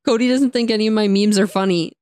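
A young woman co-hosting a casual chat, chatty and laughing often, her voice a little raspy from talking all day. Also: mid-range voice, fast, a little mellow, dry recording, clean studio sound. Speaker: podcast co-host.

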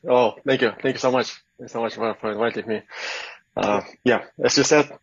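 A man from Russia with a Russian accent, giving interview answers haltingly as he searches for his words. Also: mid-range voice, steady tone, gravelly, lo-fi sound, slight background noise. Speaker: guest, kettlebell athlete and coach. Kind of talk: interview answers, casual talk.

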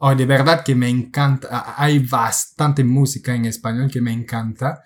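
A man's voice: medium pitch, level tone, normal speed. A man from Quebec in his late thirties, a native French speaker with a Quebec French accent, chatting as a guest and often repeating words as he searches for them. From Quebec, Canada. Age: late 30s. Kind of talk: casual interview chat.

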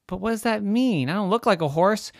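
Storybook girl: a man playing a bossy, shrill big sister, loud and teasing. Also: expressive, a little fast, gravelly, dry recording, studio quality. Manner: high, emphatic, teasing.

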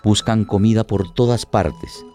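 A man's voice: on the low side, fairly even intonation, unhurried, dark tone, soft background music. A man delivering dramatic scripted narration for a radio play.

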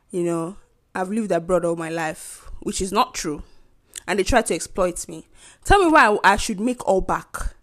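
Indignant young Nigerian woman venting. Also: mid-range voice. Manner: animated, indignant storytelling with mocking quoted voices. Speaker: podcast host. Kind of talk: casual venting monologue.

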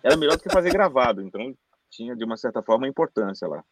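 A man's voice: mid-range voice, sing-song, very fast, no echo. A Brazilian man, a voice-over announcer, telling stories as a guest in a casual interview, chatty and full of restarts. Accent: Brazilian Portuguese. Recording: dull dry lo-fi sound.

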